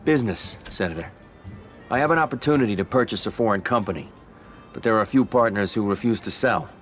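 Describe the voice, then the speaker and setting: An actor playing a smooth, ambitious Italian-American mafia boss in a video game, speaking in a measured, persuasive American voice. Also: male voice, on the low side, expressive, soft background music, lightly acted. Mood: firm, persuasive. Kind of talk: video game cutscene acting.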